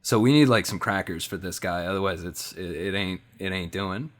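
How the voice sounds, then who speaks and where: A man's voice: low voice, moderate pace. A man playing a tabletop roleplaying game, improvising casually in slangy, laid-back wording.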